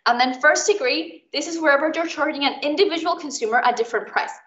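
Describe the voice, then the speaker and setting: A woman's voice: high voice, fast, fairly bright tone. A young woman from Mexico teaching an informal online lesson, chatty and explaining with everyday examples. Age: young adult. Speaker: student tutor leading an exam recap. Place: indoors, online video call. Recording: muffled, roomy online call recording.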